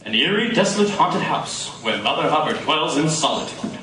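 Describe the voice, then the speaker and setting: A man's voice: fairly high pitch, sing-song, normal speed, sharp tone, a little hiss or hum. A man narrating a live radio play in a grandiose, theatrical 1940s announcer style. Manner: grandiose, dramatic. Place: live performance in a coffee house. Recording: echoey room from far off, music.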